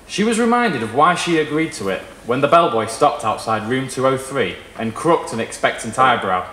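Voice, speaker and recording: A young man reading a literary short story aloud in a measured, dramatic way. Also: medium pitch, a bit bright, roomy sound. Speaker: reader at a live short story event. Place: small indoor performance room with wood panelling.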